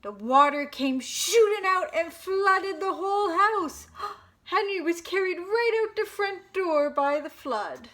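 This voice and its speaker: A woman with a high, bright voice reads a children's picture book aloud. She takes her time and pauses for drama, telling it expressively as a storytime host for young listeners. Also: lively intonation.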